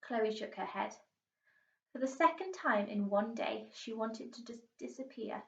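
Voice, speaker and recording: A woman's voice: fairly high pitch, animated, measured pace, creaky. A British woman, a primary school teacher, reading a storybook aloud expressively. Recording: muffled, echoey room, distant mic.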